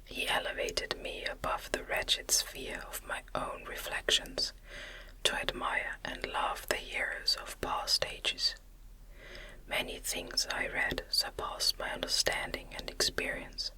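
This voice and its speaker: A woman reading a gothic novel as ASMR, in a gentle, breathy, unvoiced whisper with a measured delivery. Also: poor audio. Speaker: audiobook narrator.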